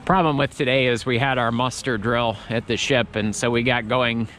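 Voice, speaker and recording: A man's voice: medium pitch, fairly even intonation, moderate pace, fairly bright tone, slight background noise. A man vlogging as he walks through an outdoor Christmas market, chatting in a rambling way with many restarts. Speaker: travel vlogger. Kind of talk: casual walking commentary.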